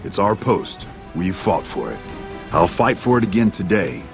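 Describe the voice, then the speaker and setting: Gruff, low-voiced defiant general. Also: male voice, big pitch swings, moderate pace, over music, exaggerated voice. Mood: angry, defiant. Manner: blunt, combative, profane. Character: gruff, defiant, foul-mouthed military general. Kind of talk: video game dialogue.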